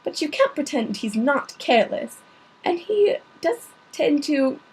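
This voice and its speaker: A woman's voice: high voice, very animated, measured pace, a little mellow, pitched above the performer's own voice, full character voice, rough recording. A woman reading aloud and voicing a stern, anxious older female professor who speaks sharply. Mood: grudging.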